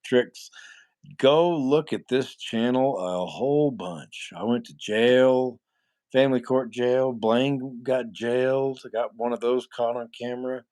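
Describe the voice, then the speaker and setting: A man in his forties with a nasal voice and an ordinary middle pitch talks in a loose, rambling way to his livestream chat. He is blunt and swears freely. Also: fairly even intonation, takes it slowly, darkish tone. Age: 40s. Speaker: livestream host answering chat. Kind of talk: casual live chat.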